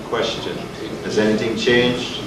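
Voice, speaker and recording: A man's voice: fairly low pitch, steady tone, unhurried. Man hosting in an echoey hall. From Guyana. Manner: earnest, rhetorical, emphatic. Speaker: event host. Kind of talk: community lecture introduction. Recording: echoey hall, distant mic, noisy.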